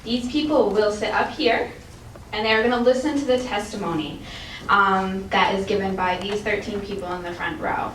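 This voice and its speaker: A woman's voice: medium pitch, fairly even intonation, moderate pace, a bit bright. A woman hosting a public event, upbeat and welcoming as she explains the proceedings. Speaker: event host introducing speakers. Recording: echoey hall, distant mic, background noise.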